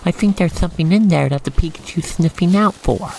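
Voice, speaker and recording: A man guiding the listener through an ASMR roleplay in expressive, theatrical, wordy storytelling narration. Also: sits high, a little fast, creaky, a little hiss or hum, low-quality recording.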